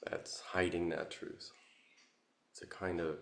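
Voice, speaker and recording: Very low, slow-spoken male meditation teacher. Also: flat delivery, creaky. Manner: contemplative, halting, long pauses between short phrases. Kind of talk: guided meditation, Buddhist dharma talk. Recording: roomy, echoing sound.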